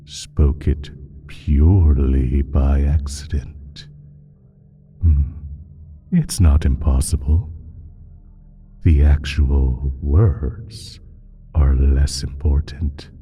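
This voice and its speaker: A man playing an ancient, evil primordial being in a slow audio roleplay, speaking deliberately in a smug, menacing, condescending way with a husky, breathy voice. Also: rumbling low, warm tone, exaggerated voice.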